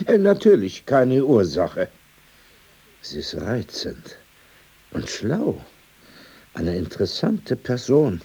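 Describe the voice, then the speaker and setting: An actor playing an older, pedantic, courteous gentleman in a German radio play, speaking in short, emphatic phrases. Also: mid-range voice, huge pitch swings, slightly rough, exaggerated voice. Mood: courteous, musing. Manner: halting short phrases, formal politeness, emphatic. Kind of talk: radio play dialogue and storytelling.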